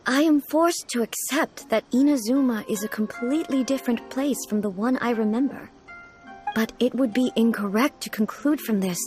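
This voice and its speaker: An actress playing a solemn, ageless ruler in a video game, speaking in a formal, measured, pondering way. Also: female voice, on the high side, natural voice. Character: solemn, regal, ageless female ruler, earnest and contemplative. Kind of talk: video game character acting. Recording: soft music behind.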